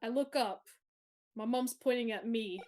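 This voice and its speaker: A woman's voice: on the high side, measured pace, darkish tone. A young woman chatting on a live stream from home, rambling with plenty of fillers and laughs. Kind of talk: casual chat on a live stream. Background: music playing.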